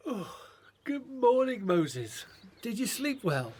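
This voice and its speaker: An actor playing an anxious but loyal Hebrew brother in a Bible radio drama, speaking earnestly and hesitantly. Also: male voice, very high voice, big pitch swings, slow pace, darkish tone, husky, exaggerated voice. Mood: gentle greeting.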